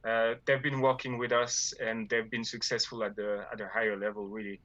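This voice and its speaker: A man's voice: lowish voice, little pitch movement, fairly quick, a bit bright, dry recording. A man from France with a French accent, talking about his gaming guild in a casual interview, hesitant with many fillers and restarts. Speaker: guild leader.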